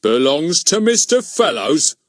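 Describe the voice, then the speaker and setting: A man's voice: mid-range voice, animated, normal speed, fairly bright tone, dry recording, studio quality, pitched above the performer's own voice, natural voice. A man voicing a pompous, heavy middle-aged village policeman who barks bossy, threatening demands. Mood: bullying.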